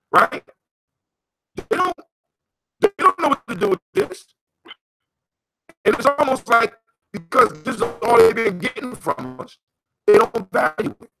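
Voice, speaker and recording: Talk host, American, creaky delivery, emphatic and repetitive, teaching conversationally over music. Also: medium pitch, sharp tone, rough recording. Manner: emphatic, repeats phrases, checks in with "you know what I'm saying". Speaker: host of a call-in talk on folktales.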